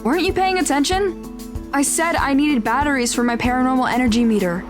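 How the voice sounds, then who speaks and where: A woman's voice: high-pitched, a little fast, over music, close to an everyday voice. A woman playing a cocky, impatient teenage girl in an audio drama. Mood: impatient, boastful.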